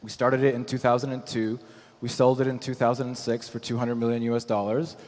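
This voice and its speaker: A man speaking as an angel investor on a conference panel, explaining his points in a measured way with frequent "you know". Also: mid-range voice, flat delivery, a little mellow. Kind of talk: panel discussion, explanatory talk. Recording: echoey hall with long reverb.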